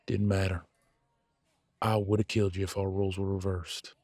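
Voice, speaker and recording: A man playing a proud, blunt werewolf in an audio drama, speaking haltingly as he grudgingly makes peace with a rival. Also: low-pitched, level tone, darkish tone, dry recording. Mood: blunt, confessional. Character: gruff proud male werewolf, reluctantly making peace with rival. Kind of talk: scripted audio roleplay drama.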